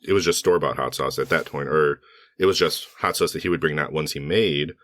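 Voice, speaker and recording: A man co-hosting a podcast in casual chat, his voice slightly hoarse, rambling with fillers and self-corrections. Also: a bass voice, brisk, a bit bright. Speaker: podcast co-host.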